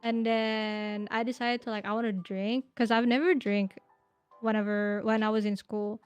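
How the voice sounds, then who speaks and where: A woman's voice: a little high, steady tone, normal speed, smooth, faint music behind. A woman in her twenties, a podcast guest chatting casually, telling rambling stories with lots of fillers and giggles. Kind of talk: casual interview chat.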